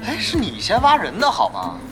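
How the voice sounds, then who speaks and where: Exasperated, wildly animated male gamer. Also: high-pitched, a little fast. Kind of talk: Chinese audio drama acting. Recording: music behind the voice.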